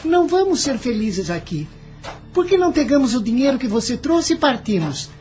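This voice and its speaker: A woman's voice: sits high, sing-song, normal speed, mellow, faint music behind. A woman voicing an earnest, protective adolescent boy in love in an audio drama, insistent, with a Brazilian accent. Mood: earnest pleading.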